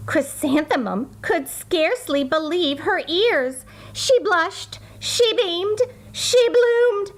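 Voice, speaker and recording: A woman reading a children's story aloud in an expressive, deliberate, sing-song way. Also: very high voice.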